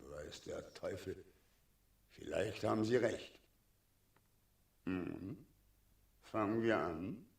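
A man's voice: low voice, takes it slowly, vocal fry, pauses often, full character voice. An actor playing a cynical, tyrannical dragon in 1960s radio drama, sardonic and commanding as he savours each word. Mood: dry, resigned. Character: cynical, tyrannical male dragon ruling a town. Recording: 1960s radio recording, distant from microphone.

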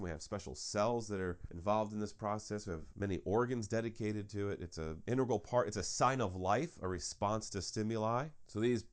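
A man's voice: fairly low pitch, dull audio. A man hosting his podcast alone, speaking with sinus congestion in an earnest, repetitive explaining manner. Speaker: podcast host. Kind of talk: solo podcast monologue.

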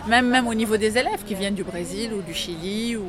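A woman's voice: medium pitch, a little fast, a crowd in the background. Lebanese-born woman in a noisy café. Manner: thoughtful, many hesitations and restarts. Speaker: interview guest, actress and drama teacher. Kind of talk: casual interview in a café. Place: busy café or restaurant.

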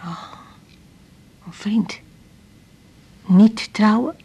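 An actress playing a timid, evasive Turkish immigrant wife in a radio drama, with a Turkish accent and broken, halting sentences. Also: female voice, medium pitch, gravelly, mellow, breathy edge, heavily acted. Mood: disappointed, resigned.

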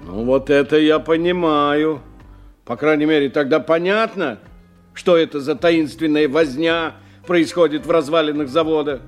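An actor playing a blunt, earthy skeptic who talks in a gruffly familiar, colloquial way in a radio play. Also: male voice, on the high side, normal speed, faint music behind, lightly acted. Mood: bluff, musing. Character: blunt, earthy man, skeptical drinking companion. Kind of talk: 1990 radio play acting.

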